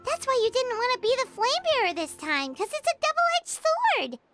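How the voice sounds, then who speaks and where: A woman voicing a tiny, excitable, childlike fairy companion in a video game, bubbly and chatty. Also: very high voice, normal speed, full character voice. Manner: bubbly, chatty, refers to self in third person. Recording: music behind the voice. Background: game background music.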